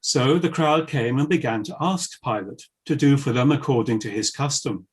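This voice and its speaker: A man narrating scripture in a solemn, measured and formal reading style. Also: mid-range voice, slightly rough.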